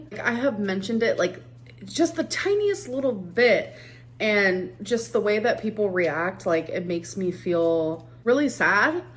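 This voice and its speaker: A woman vlogger who talks casually and gushingly as she answers fan questions. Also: mid-range voice. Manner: gushing, lots of "like" fillers. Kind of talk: casual vlog talk.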